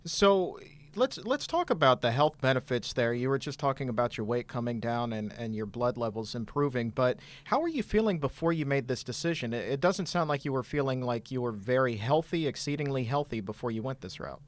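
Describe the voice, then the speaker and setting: A man's voice: mid-range voice, expressive, fairly quick. A man hosting a podcast, interviewing his guest in an upbeat, encouraging way. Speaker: podcast host. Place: studio table.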